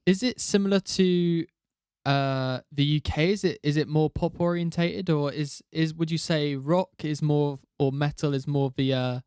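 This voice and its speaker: Podcast interviewer: male, crisp tone, dry sound, casual conversational questioning. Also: fairly high pitch, fairly even intonation, measured pace, a little mellow, no room sound. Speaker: podcast co-host.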